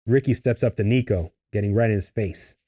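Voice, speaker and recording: A man's voice: on the low side, fairly quick, dark tone, breathy edge. A man reading stage directions as scripted narration for a table read.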